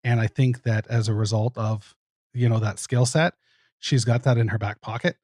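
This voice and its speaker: A man with a medium-pitched voice talks casually as a guest on a podcast. He sounds like a producer chatting about his project, recorded dry with little room. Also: steady tone, normal speed, a little mellow, no room sound. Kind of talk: casual interview talk.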